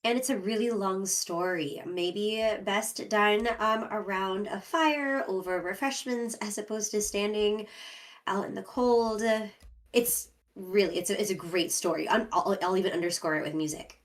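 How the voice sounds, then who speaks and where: A woman's voice: medium pitch, a little slow, lightly acted. A woman playing a chipper, diplomatic fae musician and puppeteer with an eager, persuasive manner.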